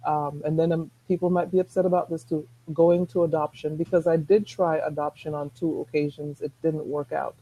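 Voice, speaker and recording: A middle-aged woman with a soft-spoken voice, talking earnestly as a guest on a video call, rambling with restarts. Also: low voice, level tone, brisk, few pauses, rough recording. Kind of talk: casual chat.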